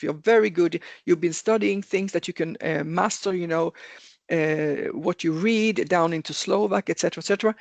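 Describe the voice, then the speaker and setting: A man's voice: fairly high pitch, no room sound. A man giving advice in a webinar over a video call, talking earnestly, repeating himself and correcting his own words as he goes. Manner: repetitive, earnest, self-correcting. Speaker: webinar speaker on careers. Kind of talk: explanatory webinar talk. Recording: video call with dry sound.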